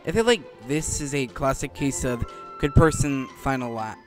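A man with a mid-pitched voice chats casually through a video game playthrough. He rambles and halts, rewording as he goes, with game music clearly audible behind him. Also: big pitch swings. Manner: rambling, halting, often rephrasing. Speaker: gaming video creator.

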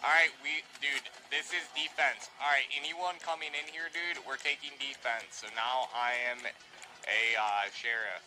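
A man talks through a roleplay game stream in a casual, informal way. He directs his squad like a bossy leader, often repeating his commands. Clear music and game sound play behind him. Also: medium pitch, fairly even intonation, a little slow. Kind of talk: casual in-character gameplay chat.

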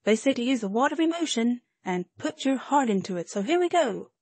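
A breathy woman's voice, slightly high, reads a demo script through an AI voice changer. The sound is dry and close. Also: expressive, fairly quick, darkish tone, dry recording.

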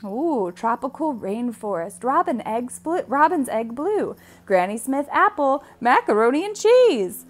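A woman reading a picture book aloud for children, expressively, with deliberate, drawn-out emphasis on key words. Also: sits high, sing-song. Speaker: read-aloud storyteller.

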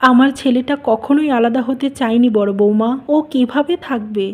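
A woman voicing an anxious but firm mother-in-law, motherly and insistent, in an animated family melodrama. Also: fairly high pitch, moderate pace, narrow, tinny audio, music in the background, natural voice. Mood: distressed.

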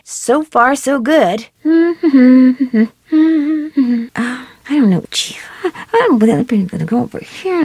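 A woman's voice: high voice, no echo, studio quality, full character voice. An actress voicing a curious, upbeat young female detective, chirpily talking to herself in a video game. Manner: chirpy self-talk, quick remarks to herself. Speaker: game protagonist.

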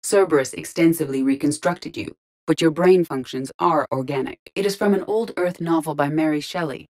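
Low-voiced, deadpan female starship AI. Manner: precise, measured, deadpan, formal diction. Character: calm, courteous female artificial intelligence aboard a starship. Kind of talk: scripted video game dialogue.

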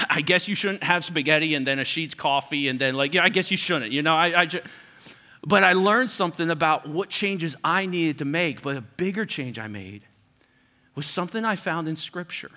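A middle-aged pastor preaching a sermon, conversational and building to repeated, emphatic lines. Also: male voice, fairly high pitch, normal speed, bright, forceful tone. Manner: preaching with rising intensity and repetition, conversational asides.

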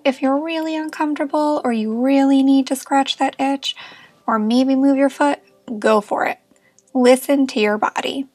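High-voiced woman guiding a meditation. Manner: gentle, reassuring, encouraging. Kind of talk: guided meditation narration.

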